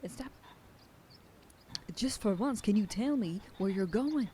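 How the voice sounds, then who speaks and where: A woman playing a protective werewolf sheriff girlfriend in an ASMR roleplay, scolding the listener and dropping into soft whispers. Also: medium pitch, expressive, a little slow, slight background noise. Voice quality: soft whisper in quieter passages. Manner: scolding, then softening into tender whispers. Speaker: voice actor in an audio roleplay.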